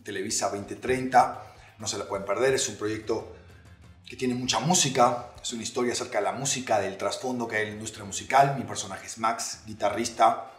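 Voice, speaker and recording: Young male host, casual and upbeat cooking chat, middle pitch, roomy echoey room, soft music bed. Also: steady tone, a little fast. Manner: upbeat, playful, addressing viewers directly. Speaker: actor presenting a recipe.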